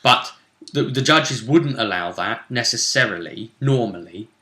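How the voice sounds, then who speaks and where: A man's voice: medium pitch, expressive, unhurried, sharp tone. A man hosting a casual tabletop role-play recap as game master, talking conversationally. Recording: roomy, echoey sound.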